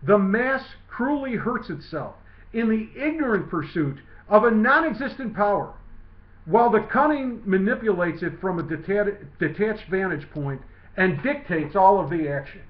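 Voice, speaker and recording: A man reading his own literary essay aloud in a measured, declamatory way. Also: high-pitched, lively intonation. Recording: muffled lo-fi, roomy echo.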